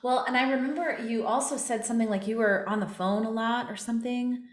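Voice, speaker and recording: A woman's voice: fairly high pitch, brisk, echoey room. A woman hosting an interview as a vocal coach, asking encouraging questions in casual chat.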